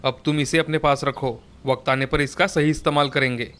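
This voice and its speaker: A man's voice: mid-range voice, fairly even intonation, fairly quick, a bit bright, clean tone, natural voice. A man playing an earnest young brother turned into a deer in a children's fairy tale.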